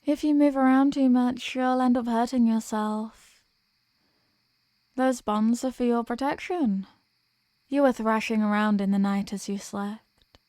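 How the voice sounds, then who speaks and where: A woman playing a dominant, condescending elder vampire in an ASMR roleplay, with teasing, formal, deliberate diction. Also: on the high side, warm tone, no echo, clean studio sound, natural voice. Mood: stern, haughty. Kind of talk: ASMR roleplay audio drama.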